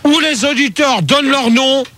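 A man's voice: very high voice, moderate pace, over a noisy crowd. A man hosting a comic radio call-in debate, hesitant and full of fillers, scolding his callers.

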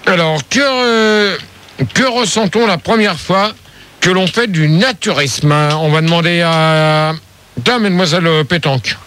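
A man hosting a live radio phone-in debate, halting and muddled, easily exasperated as he calls his guests to order. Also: high voice, fairly bright tone.

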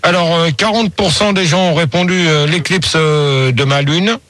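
A man's voice: fairly high pitch, normal speed, fairly bright tone, no echo. A man hosting a comic radio show, reading out absurd poll results in a mock-serious, crude manner. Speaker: radio host.

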